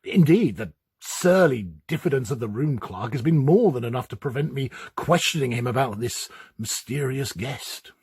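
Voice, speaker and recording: An actor in a dramatic reading, playing a lonely, timid, middle-aged academic who muses in a hesitant, fussy, precise way. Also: male voice, medium pitch, lively intonation, normal speed, darkish tone, slightly rough. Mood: defiant. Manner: hesitant, musing, theatrical, precise wording. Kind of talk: performed dramatic reading of a classic ghost story, first-person monologue.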